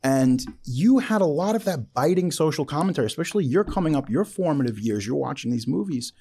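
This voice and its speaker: A mellow man whose pitch moves in a lively, animated way. He hosts a casual interview, speaking with enthusiasm and strong opinions, breaking off into asides. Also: a little fast, slightly rough. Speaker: interview host.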